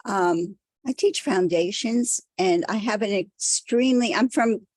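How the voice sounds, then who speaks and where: A woman's voice: medium pitch, a little slow, darkish tone, no echo. A woman from Connecticut, an art teacher, introducing herself in a plain, thoughtful, slightly meandering way. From Connecticut, United States. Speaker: art teacher, seminar participant.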